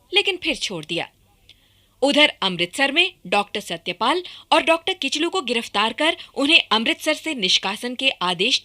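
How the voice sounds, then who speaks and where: A woman's voice: sits high, pushed and bright. A woman narrating a scripted historical radio drama in a formal, emphatic way.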